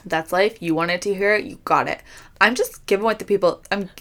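A woman's voice: mid-range voice, a little fast, a bit bright. A young woman co-hosting a podcast in casual chat, talking loosely with lots of "like" fillers. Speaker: podcast co-host.

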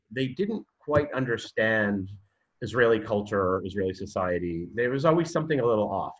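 A man's voice: medium pitch, fairly bright tone, dull audio. A man from Canada with a Canadian accent, talking as a guest in a podcast interview, hesitant with many fillers and restarts, then telling his story with growing energy. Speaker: guest, university programme director.

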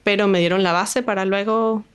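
A woman with a Venezuelan Spanish accent speaks at an ordinary middle pitch. She is a guest in an interview. She explains things patiently, one step at a time. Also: steady tone, a little fast, clear, resonant tone. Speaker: astronomer, guest.

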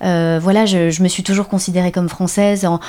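A woman's voice: fairly low pitch, fairly quick. A woman from the Paris suburbs hosting a casual conversation, telling her own experiences earnestly, with frequent restarts. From Paris suburbs, France. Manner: earnest, animated storytelling with restarts.

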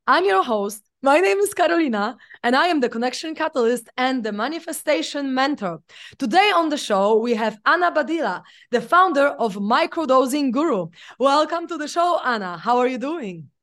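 A woman's voice: high voice, expressive, moderate pace, fairly bright tone. A woman hosting a podcast interview, upbeat and enthusiastic in a casual chat. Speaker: podcast host.

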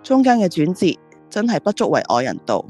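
A woman's voice: on the low side, very animated, a little fast, warm tone, breathy edge, faint music behind. A woman playing a driven, proud and reflective female ad agency boss in a radio drama, with a Hong Kong Cantonese accent. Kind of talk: radio drama dialogue and monologue.

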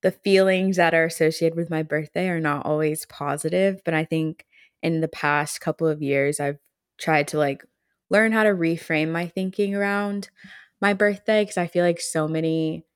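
A woman in her twenties co-hosting a podcast, chatting in a relaxed, reflective way and laughing often. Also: sits low, normal speed, smooth, dry recording, clean studio sound. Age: 20s. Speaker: podcast co-host. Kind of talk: casual chat.

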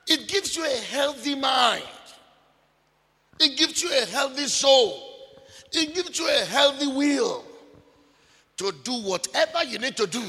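A man preaches in a very high, gravelly voice, pressing each point home with repetition and sudden shouts. His sermon rings out with the long reverb of a large hall. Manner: emphatic, repetitive, bursts of shouting.

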